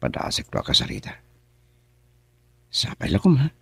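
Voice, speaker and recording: A man's voice: normal speed, close to an everyday voice. An actor playing an indignant young man confronting his friends, in Ilocano radio drama with a Filipino accent. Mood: indignant, scolding.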